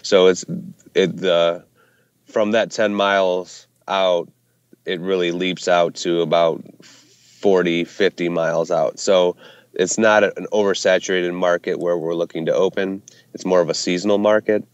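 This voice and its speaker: Low, flat-voiced man, hesitant talker. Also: unhurried, clean tone, no echo, highs cut off. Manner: hesitant, many fillers and restarts. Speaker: brewery co-founder, guest. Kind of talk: casual interview answers. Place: Ohio hometown brewery.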